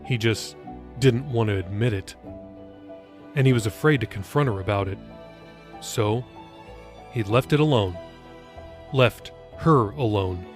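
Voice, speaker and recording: A man's voice: on the low side, unhurried, long pauses, soft background music. A man reading his own novel aloud as scripted audiobook narration.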